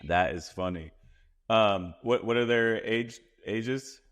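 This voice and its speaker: A man's voice: fairly low pitch, slow, smooth. A man hosting a podcast, asking curious questions in casual chat and laughing easily. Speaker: podcast host.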